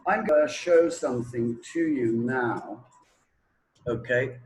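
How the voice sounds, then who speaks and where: A man's voice: mid-range voice, takes it slowly, slight background noise. A man explaining a point as a teacher, in a didactic way with check questions. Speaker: English teacher. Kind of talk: explanatory teaching talk to a learner.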